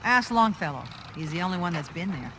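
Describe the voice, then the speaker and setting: A weary, level-headed woman who leads a harbor town. She speaks firmly and plainly in a measured way. A little ambient noise runs underneath. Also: on the low side, animated. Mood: advising, earnest. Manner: measured, firm, plain-spoken. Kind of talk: video game character acting.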